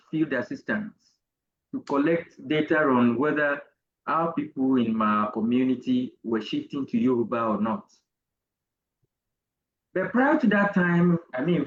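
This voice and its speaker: A middle-aged Nigerian man, an interview guest, telling a personal story in a deliberate, halting academic way. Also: a little high, roomy sound, lo-fi sound, a little hiss or hum. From Nigeria, Ogun State. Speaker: interview guest, university professor.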